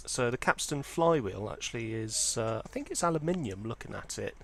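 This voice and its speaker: A man with a medium-pitched voice presents a hobby video in an informal, unscripted style. He explains details step by step, with a hesitant delivery that often hedges as it goes. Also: lively intonation, brisk, gravelly, a little hiss or hum. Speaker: presenter of a vintage audio hobby channel. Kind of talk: informal product walkthrough, unscripted.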